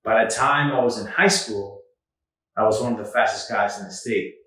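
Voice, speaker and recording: A man who grew up in the Dominican Republic, hosting a podcast and telling stories about his past in an earnest, animated way. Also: fairly low pitch, moderate pace, far from the mic. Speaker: podcast host. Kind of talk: casual chat, storytelling. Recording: echoey room, slightly off mic.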